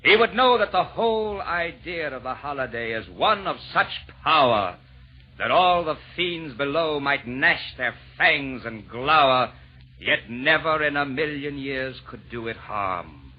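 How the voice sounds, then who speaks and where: An actor playing a jolly, eloquent Santa Claus, declaiming rhymed verse grandly in 1940s radio drama style. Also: male voice, medium pitch, expressive, unhurried. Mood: proud, admonishing. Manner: grand, declamatory rhyming delivery. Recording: dull vintage 1940s radio recording.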